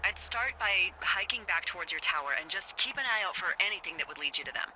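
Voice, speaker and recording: An actress voicing a wry, teasing American fire lookout supervisor in a video game, with a dry, playful and sarcastic manner. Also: female voice, fairly low pitch, animated, fast talker, sharp tone, a little hiss or hum, low-quality recording. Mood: dry, practical. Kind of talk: naturalistic video game dialogue. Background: background music.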